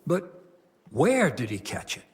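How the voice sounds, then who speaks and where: An actor playing a stern, burdened abbot of a medieval monastery, grave and formal, in a dramatic game cutscene. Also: male voice, fairly high pitch, huge pitch swings, slow pace, exaggerated voice. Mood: grave explaining.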